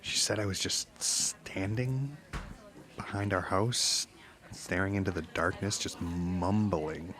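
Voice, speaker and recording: An actor playing a haunted witness in an audio drama, recounting eerie events in a halting, uneasy way. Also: male voice, deep voice, a bit bright. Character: haunted married truck driver recounting eerie encounters. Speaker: podcast guest, witness. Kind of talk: naturalistic audio drama storytelling.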